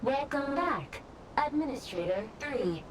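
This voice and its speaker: A woman voicing an impersonal automated service bot in a video game, halting and formulaic. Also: sits high, lively intonation, slight background noise. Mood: procedural. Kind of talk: video game system announcement. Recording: loud music over the voice.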